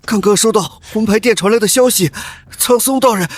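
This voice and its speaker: A man voicing an agitated henchman of an evil cultivation sect in an animated fantasy drama. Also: sits high, a little fast. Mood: panicked, deferential. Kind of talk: animated xianxia drama dubbing.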